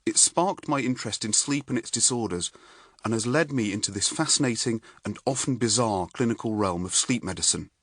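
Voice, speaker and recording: A middle-aged British man reads his own nonfiction audiobook in a measured, articulate storytelling manner. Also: fairly low pitch, dry recording. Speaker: author and neurologist reading own audiobook. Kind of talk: scripted audiobook narration.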